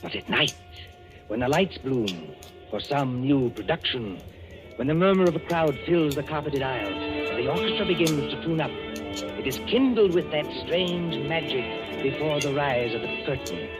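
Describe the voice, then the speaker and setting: A man hosting and narrating a 1940s radio drama in an ominous, measured, theatrical manner. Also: on the high side, animated. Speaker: host and narrator. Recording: vintage radio recording with loud music. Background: loud music.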